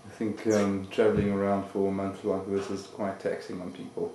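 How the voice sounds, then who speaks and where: A man's voice: deep voice, monotone, moderate pace, warm tone, reverberant, slight background noise, poor audio. A man, an exchange student, talking wryly to the camera in a video diary.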